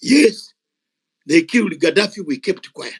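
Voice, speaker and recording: A man's voice: fairly high pitch, animated, unhurried, breathy edge. An older man speaking Ugandan English, giving an impassioned, unscripted speech at a gathering. He is fiery and emphatic, repeating words for force. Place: gathering of activists.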